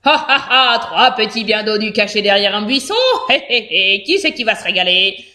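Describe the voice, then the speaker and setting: A woman's voice: mid-range voice, normal speed, sharp tone, natural voice. A woman voicing a sly male fox, the cowardly villain of a children's story. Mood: gloating. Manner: gloating, then stammering.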